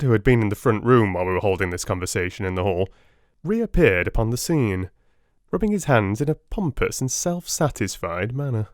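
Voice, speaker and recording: A man reading a detective audiobook as narrator, in a theatrical, measured storytelling style. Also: fairly low pitch, no echo, clean studio sound. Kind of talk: dramatic scripted narration of a classic detective story.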